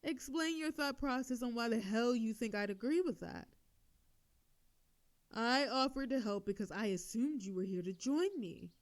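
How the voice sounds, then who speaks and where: A woman's voice: fairly high pitch, expressive, moderate pace, dry recording, close to an everyday voice. A woman acting a proud, sardonic telepathic villainess, close and dry. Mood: incredulous, stern, then zealous, bitter. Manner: theatrical, indignant then soothing. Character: telepathic female villain, proud, sardonic, secretly soft toward hero. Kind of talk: scripted roleplay audio drama monologue.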